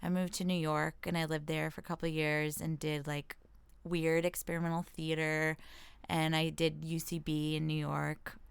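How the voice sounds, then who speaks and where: A woman's voice: sits low, level tone, moderate pace, creaky, clear, resonant tone, dry recording. An American woman chatting casually as an interview guest, informal and self-deprecating, thinking aloud as she goes. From Washington state, United States. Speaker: comedian and actress, interview guest. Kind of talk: casual chat.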